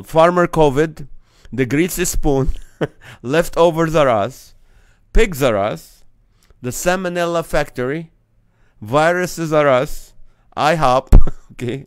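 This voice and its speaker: A man's voice: fairly high pitch, clear, resonant tone, no room sound, clean studio sound. A man who grew up in the Middle East, hosting a casual live-stream comedy chat and laughing between his jokes. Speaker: comedian host.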